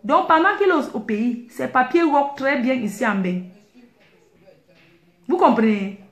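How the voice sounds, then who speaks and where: A woman's voice: high-pitched, lively intonation, measured pace. A woman ranting indignantly to her viewers, emphatic and repeating herself. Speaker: online video commentator. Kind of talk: heated personal rant to viewers. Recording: echoey room.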